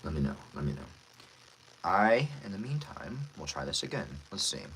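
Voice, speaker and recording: A man who is a voice actor, chatting casually with livestream viewers in an animated manner. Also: low-pitched, normal speed, a little hiss or hum, poor audio. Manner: animated, reads out viewer comments. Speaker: voice actor, streamer.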